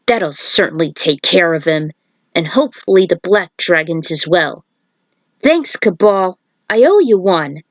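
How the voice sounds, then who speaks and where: A woman's voice: lowish voice, animated, a little slow, no room sound, highs cut off. A woman voicing a defiant male cop hero in a fan fiction story reading. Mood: grateful.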